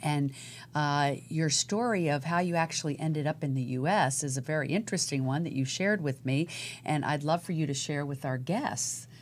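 A woman hosting a talk radio interview show, upbeat and chatty, often pausing on "uh" between thoughts. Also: low-pitched, a little hiss or hum. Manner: upbeat, warm-toned interjections, frequent filler "uh".

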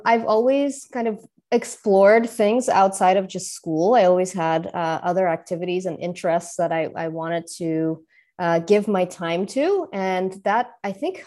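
A woman's voice: medium pitch, a little mellow. A woman in her thirties from Russia, answering interview questions in an articulate way with frequent fillers. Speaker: guest entrepreneur.

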